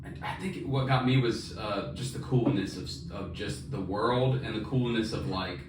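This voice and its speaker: A man's voice: fairly low pitch, normal speed. A man co-hosting a podcast in casual, slangy, enthusiastic banter about books with a friend. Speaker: podcast co-host. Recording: echoey room, distant mic, faint noise.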